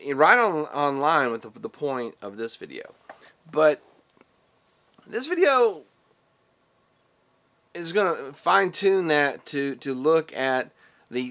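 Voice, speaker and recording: Middle-aged man, sharp-edged bright tone, casual reflective vlogger, earnest, stop-and-start delivery. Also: medium pitch. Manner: halting, earnest, thinking aloud. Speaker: vlogger and teacher. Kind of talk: casual, reflective vlog talk to camera.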